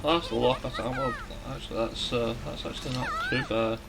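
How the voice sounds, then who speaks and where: A man giving casual, somewhat halting video game commentary as a let's play host. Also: mid-range voice, gravelly, heavy background noise. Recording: music behind the voice. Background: game music.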